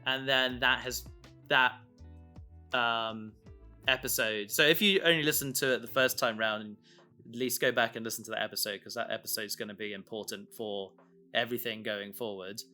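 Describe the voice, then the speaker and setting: A man in a casual discussion as a co-host, talking informally and haltingly as he thinks aloud. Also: mid-range voice, fairly even intonation, sharp tone. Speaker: co-host, audio drama creator. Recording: music playing underneath.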